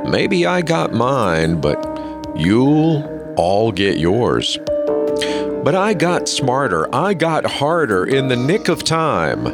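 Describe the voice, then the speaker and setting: A man giving a theatrical dramatic reading of song lyrics, with pauses. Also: medium pitch, sing-song. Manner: theatrical, slow, pausing. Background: dramatic music.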